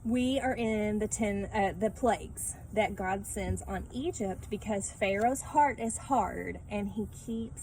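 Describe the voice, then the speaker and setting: A woman speaks to children in a casual, conversational teaching style. Her voice sits at a middle pitch, and she often ends thoughts with short questions. Faint ambient noise runs behind her. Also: darkish tone, poor audio. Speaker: children's Bible class teacher. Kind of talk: casual read-aloud and lesson talk for children.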